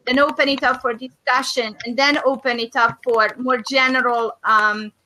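A middle-aged woman from Hungary with a Hungarian accent, hosting a faith and business video call and speaking thoughtfully with many pauses and fillers. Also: high-pitched, fairly even intonation, sharp tone, slight background noise, rough recording. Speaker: host of a faith and business discussion. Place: small room indoors. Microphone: webcam on a video call.

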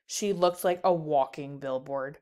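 A woman's voice: low voice, a little slow, warm tone. A woman narrating a children's book aloud as a storyteller.

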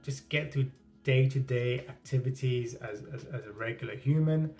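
A man's voice: mid-range voice, moderate pace, a little mellow, soft background music. A man in his thirties who stutters, talking casually to camera in a small indoor room, often repeating words and restarting. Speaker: vlogger.

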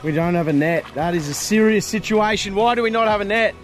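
Teasing, laughing Aussie bloke outdoors. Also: male voice, sits high, fairly quick, music in the background. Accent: Australian. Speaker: vlog host. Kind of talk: casual outdoor chat. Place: outdoors by a creek in the bush.

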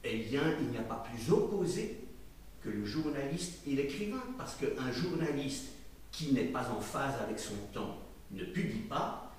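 A man speaking at a public literary debate in a deliberate, rhetorical, ironic manner. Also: mid-range voice, sing-song. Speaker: audience member and journalist reading questions. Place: small dim event venue with audience. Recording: distant, very echoey room, faint noise.

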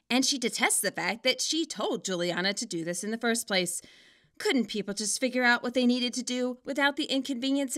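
A woman's voice: on the high side, sharp tone. A woman giving scripted narration, moving between playful, wry commentary and storytelling. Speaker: fan fiction author and video narrator.

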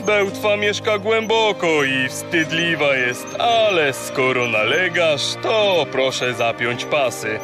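An actor playing a gruff, boastful sea god who guides and teases like a showman in a children's audio drama. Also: fairly high pitch, animated, slow, hardly any pauses, over music. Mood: bossy, teasing.

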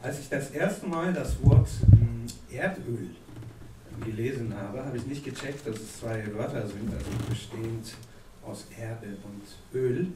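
A man's voice: medium pitch, unhurried. Man in his 30s, Turkish native speaker, slam poet, dry satirical read, roomy live stage sound. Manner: deadpan satirical delivery, chatty asides with fillers. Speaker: poetry slam performer. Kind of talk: reading a comic slam poem. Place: theatre stage. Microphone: stand mic. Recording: echoey hall from a distance, audible noise. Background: audience laughter.

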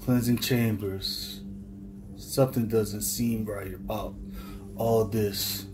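A man voicing a weary male cowboy monster hunter in an amateur motion comic, grim and low-key. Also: fairly even intonation, takes it slowly. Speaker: narrator and voice actor. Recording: over background music.

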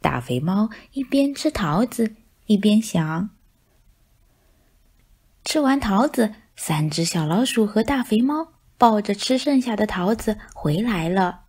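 A woman narrating a children's picture book in an expressive storytelling style. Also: medium pitch, measured pace, slightly rough.

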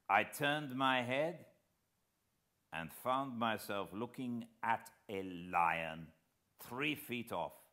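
A man voicing a wise, unhurried older Frenchman telling a hunting tale very slowly and deliberately in an audiobook reading. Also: low voice, pushed and bright, exaggerated voice. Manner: very slow, deliberate, measured storytelling.

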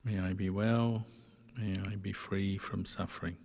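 A man leading a guided meditation as a monk, speaking gently in short phrases with long, unhurried pauses. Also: deep voice, flat delivery, creaky. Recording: long hall-like reverb.

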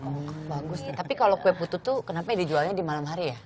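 A young woman hosting a casual talk show, chatty and quick to exclaim. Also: on the low side, expressive, a little mellow, slight background noise. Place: indoor TV studio set. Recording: studio TV audio with music behind.

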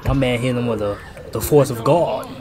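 A young American man giving casual let's-play commentary, slangy and expressive, often repeating himself. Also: mid-range voice, moderate pace, noisy background. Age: young adult. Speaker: gaming YouTuber. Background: game audio and music.

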